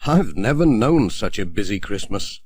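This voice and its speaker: A man's voice: lowish voice, big pitch swings, moderate pace, a little mellow, husky, no room sound. A man voicing a friendly, homely village postman in a children's storybook.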